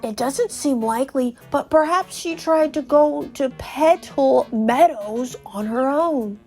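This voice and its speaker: A woman's voice: sits high, slow, mellow, soft background music. A woman voicing a shriveled old male Goomba professor from a video game, explaining things in a fussy, cautious way. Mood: earnest, advising. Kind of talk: video game character read aloud.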